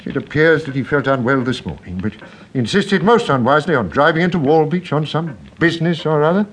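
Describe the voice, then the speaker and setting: An actor playing a kindly, absent-minded older English country rector in a radio drama, fussy and effusive, often hesitating and repeating himself. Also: male voice, on the high side, expressive, brisk, slightly rough. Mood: dismayed.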